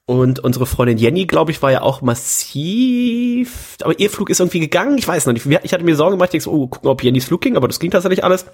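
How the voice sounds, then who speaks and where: Ein Mann mit norddeutschem Akzent, der als Gastgeber locker und gesprächig plaudert, mit lebhaften Tonsprüngen. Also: male voice, fast talker, slightly rough. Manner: chatty, with lively pitch jumps. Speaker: podcast host. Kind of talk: casual chat.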